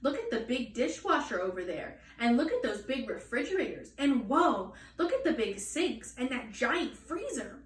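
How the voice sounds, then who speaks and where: Excitable storybook schoolgirl, voiced by a woman: high, emphatic, boastful, recorded at a distance with faint noise. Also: normal speed, few pauses, reverberant. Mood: awestruck. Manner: gushing, emphatic, childlike grammar.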